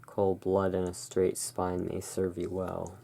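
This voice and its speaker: A person playing the soft-spoken, musing owner of a gothic curiosity emporium in a halting, hushed ASMR roleplay. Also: low voice, monotone, vocal fry, heavily acted. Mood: solemn reading.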